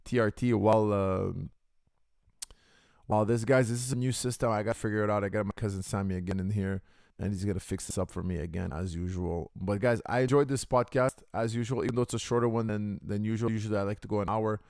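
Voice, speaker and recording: A man hosting a casual livestream podcast through a deep robotic voice filter, chatting with viewers and calling them guys. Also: steady tone, fast talker, vocal fry, darkish tone, dry recording, clean studio sound. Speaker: podcast host. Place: Canada.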